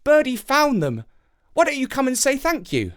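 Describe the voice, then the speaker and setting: A narrator voicing an eager, excitable young boy in a children's storybook. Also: sits high, normal speed, voice pushed up. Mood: insistent.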